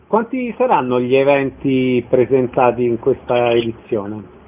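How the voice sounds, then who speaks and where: A man's voice: medium pitch, a little slow. A man hosting a radio interview, delivering prepared introductions and questions. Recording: narrow phone-like radio sound.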